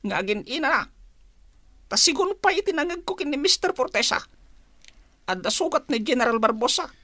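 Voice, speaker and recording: An anxious female informant in a Filipino radio drama. The voice sits very high, with a Filipino accent and a dry, close sound. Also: big pitch swings, a little fast, bright, forceful tone, no echo, full character voice. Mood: nervous, eager.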